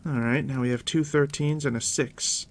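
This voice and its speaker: A man doing a soft, deep-whispered ASMR roleplay as a game master, unhurried and reassuring, speaking directly to the listener up close. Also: fairly even intonation, a little hiss or hum. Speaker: game master guiding a new player.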